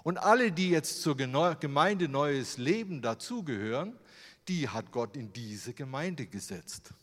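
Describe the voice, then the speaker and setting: A man's voice: fairly high pitch, animated, a little slow, fairly bright tone. A German man giving a sermon with slides, in a conversational way, full of rhetorical questions. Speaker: preacher. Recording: long hall reverb.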